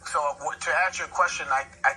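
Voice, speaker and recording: Halting man, very sharp bright sound. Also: a little high, steady tone, husky, rough recording. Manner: halting, with repeated words and pauses. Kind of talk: interview answer. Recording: thin bright broadcast audio with music underneath. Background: music.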